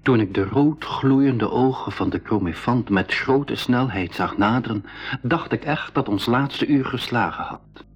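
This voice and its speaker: A man playing an explorer who retells a jungle adventure as dramatic radio storytelling, with a husky voice. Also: medium pitch, a little slow. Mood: suspenseful. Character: male expedition member retelling a jungle adventure. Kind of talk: dramatic scripted storytelling narration. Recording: dull radio recording, music behind.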